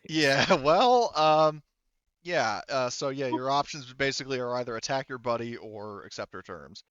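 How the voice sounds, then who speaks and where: Smooth-voiced man refereeing a game, slightly muffled. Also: medium pitch, animated, normal speed, a bit bright, no room sound. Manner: hesitant, thinking aloud. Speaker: game master. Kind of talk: casual narration and refereeing of a tabletop game.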